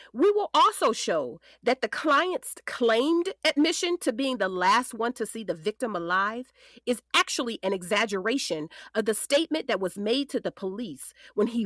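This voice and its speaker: A woman playing a poised, determined defense attorney in a scripted courtroom drama, speaking formally and deliberately with emphasis. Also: on the high side, a bit bright, no echo, studio quality.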